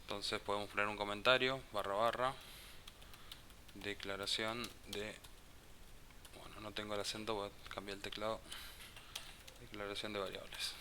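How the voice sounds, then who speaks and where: A man teaching a programming class, explaining code slowly and thinking aloud as he types. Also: fairly low pitch, level tone. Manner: slow, halting, thinks aloud with restarts. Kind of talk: live coding class explanation. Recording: faint hiss.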